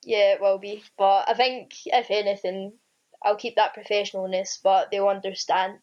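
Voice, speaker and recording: Young Scottish woman, flat delivery. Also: mid-range voice, moderate pace. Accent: Scottish, Ayrshire. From Scotland. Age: young adult. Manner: hesitant, many ums and restarts. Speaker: student teacher, guest. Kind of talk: casual interview answers. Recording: dull lo-fi sound.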